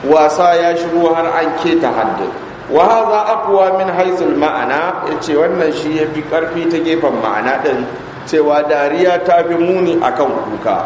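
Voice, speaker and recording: A man with a Hausa accent, a Nigerian Islamic scholar teaching in a mosque, reading Arabic lines and then explaining them slowly. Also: a little high, animated. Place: large mosque hall. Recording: echoey hall recording with background noise.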